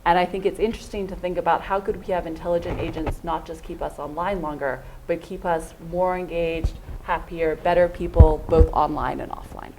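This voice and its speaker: A woman's voice: fairly low pitch, steady tone, fairly quick, hardly any pauses, noisy background. A woman giving a thoughtful conference talk, often hedging with "I think" and "um". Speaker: panel speaker.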